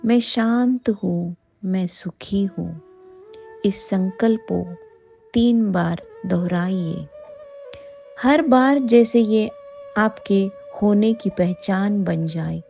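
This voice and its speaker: A woman with an Indian accent leading a guided yoga nidra meditation in a soothing, unhurried manner, leaving long pauses between phrases. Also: mid-range voice, vocal fry, warm tone, muffled recording, faint music behind.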